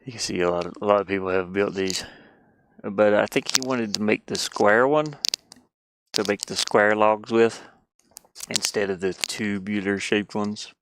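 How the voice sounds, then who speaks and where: A man chatting casually on a livestream, rambling and halting, often putting down his own efforts. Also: on the low side, background noise. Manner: halting, rambling, self-deprecating. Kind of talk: casual livestream chat while sketching.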